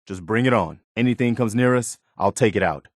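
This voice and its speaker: A man's voice: fairly low pitch, a little fast, dry recording, studio quality, close to an everyday voice. A man voicing an earnest, watchful, plain-spoken militia soldier companion in a post-war video game. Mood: earnest, steady. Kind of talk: scripted game companion dialogue.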